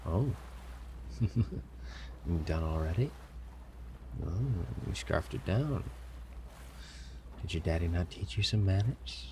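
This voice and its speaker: A man's voice: low voice, lively intonation, heavily acted. A man playing a stern, menacing pirate captain who grudgingly cares, in a commanding audio roleplay with noisy ambience behind him. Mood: mocking, curious. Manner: slow, commanding, with sighs and feigned indifference. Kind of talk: audio roleplay acting, addressing a captive listener.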